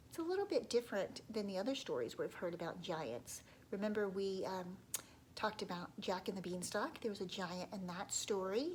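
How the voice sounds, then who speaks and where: A woman telling a story to young children in an expressive read-aloud style, often dropping into hushed asides to her listeners. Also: mid-range voice, slight background noise.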